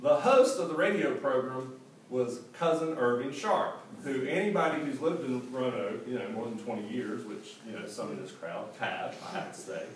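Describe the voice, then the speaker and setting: A man in his forties, an author reading from his own book to an audience in a school hall, telling it in a lively, humorous way with asides. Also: medium pitch, normal speed. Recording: echoey hall, distant mic, faint hiss.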